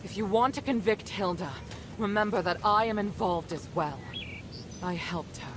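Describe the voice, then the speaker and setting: A woman's voice: medium pitch, normal speed, background noise, natural voice. An actress playing a proud, resolute young noblewoman in a fantasy game, composed, formal and firm. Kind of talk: dramatic game cutscene acting.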